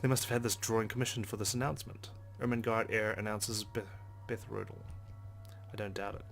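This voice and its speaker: A man's voice: lowish voice, flat delivery, brisk, gravelly, fairly bright tone. A man reading a visual novel aloud as a let's play host, narrating expressively with casual asides. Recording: game music behind the voice.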